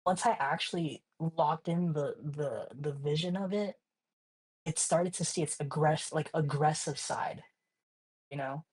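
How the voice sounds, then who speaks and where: A man's voice: a little high, a little slow, husky, low-quality recording. A young man from Hawaii, a guest artist in a casual interview, talking in a laid-back, slangy way full of "like" and "you know".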